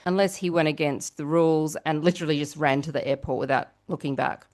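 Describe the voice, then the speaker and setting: Low-pitched woman, chatty gossip podcast host. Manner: chatty, rhetorical questions to listeners. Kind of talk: casual reality TV gossip commentary.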